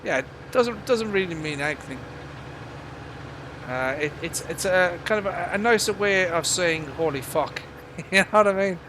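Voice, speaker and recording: A man with a mild Irish accent giving casual live gaming commentary in a halting, rambling, casually sweary way. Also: high voice, lively intonation, sharp tone, slight background noise. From Ireland. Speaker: game streamer.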